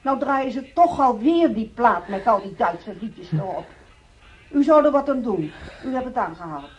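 A woman's voice: on the high side, lively intonation, normal speed, a little hiss or hum, lightly acted. An actress playing an indignant female hospital patient in a radio drama, complaining in a whining, sulky way. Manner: whining, sulky, easily offended. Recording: dull lo-fi radio play recording.

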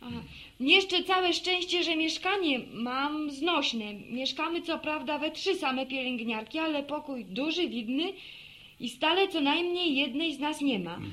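An actress in a radio serial playing an earnest young village woman who reads aloud carefully and haltingly. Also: high voice, lively intonation, fairly bright tone. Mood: warm, eager. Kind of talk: Polish radio serial acting.

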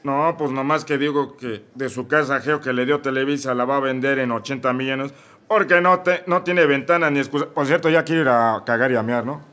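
A man's voice: medium pitch, brisk, sharp tone. A man playing a vulgar, brash male defendant in a Mexican radio comedy sketch, heckling the court.

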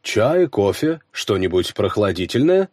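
Courteous, formal gem appraiser voiced by a man, lively intonation. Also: lowish voice, a little slow, dry recording, studio quality. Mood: polite, businesslike. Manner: polite, formal, precise. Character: courteous middle-aged male gem appraiser, businesslike clerk.

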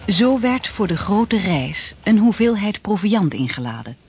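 An actor serving as sober narrator of a historical radio drama, reading scripted narration. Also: male voice, high voice, big pitch swings, a little slow, a little mellow, a little hiss or hum, exaggerated voice. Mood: measured.

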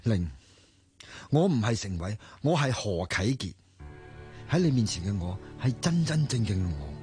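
A man's voice: on the low side, very animated, normal speed, darkish tone, soft background music. An actor playing an earnest young man in love in a Cantonese radio drama, insistent and sincere, with a Hong Kong accent. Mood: earnest, pleading.

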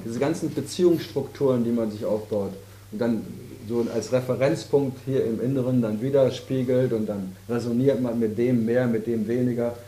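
A man's voice: mid-range voice, steady tone, normal speed, warm tone. A man in his fifties, a spiritual teacher in a casual, joking talk with his audience, teasing and rambling between jokes and explanations. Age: 50s. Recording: roomy hall with audience.

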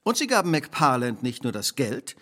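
An actor playing a shrewd, relentless detective in a radio drama, speaking precisely, formally and theatrically. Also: male voice, medium pitch, big pitch swings, normal speed, a bit bright. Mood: accusing, assured. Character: shrewd, relentless male detective laying out a crime.

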